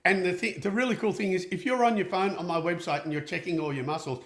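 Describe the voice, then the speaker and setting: A man's voice: sits high, steady tone, quick pace, fairly bright tone. Upbeat chatty man, echoey room. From Austria. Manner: chatty, upbeat, many fillers and restarts. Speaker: equine therapist and show host. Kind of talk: casual live Q&A chat.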